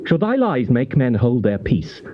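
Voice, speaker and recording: A slightly noisy, muffled tape carries a stern, righteous man admonishing in grand scriptural language. He declaims like a classical stage player, his mid-pitched voice rising and falling dramatically. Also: very animated, a little slow. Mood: stern rebuke. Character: stern, accusing righteous elder rebuking a friend. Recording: muffled old cassette tape, faint noise.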